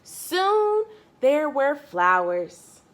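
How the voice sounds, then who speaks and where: Woman, children's storyteller, fairly high pitch, very lively intonation, expressive read-aloud, emphasised key words, direct address to young listeners. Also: drawn-out words, a little hiss or hum. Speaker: storyteller reading a picture book to children.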